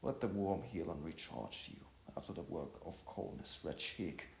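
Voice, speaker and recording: A man's voice: sits low, expressive, normal speed, vocal fry, slight background noise. A man voicing a caring, teasing woman who tries to sound wise and is easily exasperated, in an audio story. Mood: teasing, composed. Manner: trying to sound collected and wise. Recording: roomy echo, faint music behind.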